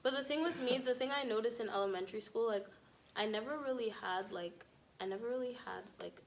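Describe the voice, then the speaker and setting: A woman's voice: a little high, a little fast, poor audio. A young adult woman telling personal stories casually as a podcast guest, in a chatty, informal, giggly way.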